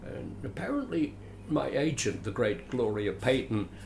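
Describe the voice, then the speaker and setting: Brief: older Australian actor, gravelly, theatrical storyteller, roomy home recording. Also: male voice, mid-range voice, unhurried. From Adelaide, Australia. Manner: animated, theatrical, chuckling. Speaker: guest, veteran actor. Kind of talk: storytelling interview. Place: his home. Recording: roomy echo, faint hiss.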